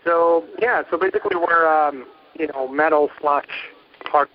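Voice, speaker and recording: A man's voice: a little high, moderate pace, lo-fi sound, a little hiss or hum. A young man from Portugal, a band's guitarist and singer, talking loosely with lots of fillers in a phone interview. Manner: rambling, hesitant, many fillers.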